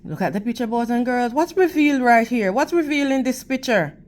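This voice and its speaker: A woman reading a picture book aloud to children in a teacherly manner, with deliberate, emphatic phrasing and questions for her young listeners. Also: on the high side, animated, a little mellow. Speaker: read-aloud storytime host for children.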